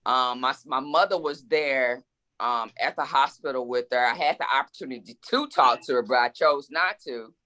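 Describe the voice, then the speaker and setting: A woman's voice: a bass voice, moderate pace, bright, forceful tone. A woman in her forties, a guest author in a casual interview, speaking reflectively and with emphasis, often repeating words as she thinks. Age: 40s.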